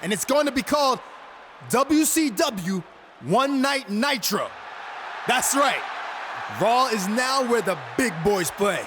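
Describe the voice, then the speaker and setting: A young male pro wrestler in a video game cutscene. His voice sits high as he delivers an earnest, defiant promo to the arena, with some ambient noise around him. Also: expressive, takes it slowly, long pauses, full character voice. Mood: proud, showy. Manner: addresses a crowd in announcement style.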